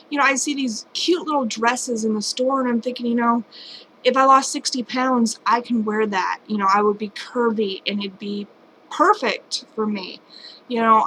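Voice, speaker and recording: A woman's voice: a little high, a bit bright, a little hiss or hum, rough recording. A woman in her late twenties, talking casually and candidly to her camera at home, rambling and self-deprecating. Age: late 20s. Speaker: vlogger. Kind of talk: casual personal vlog. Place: home at night.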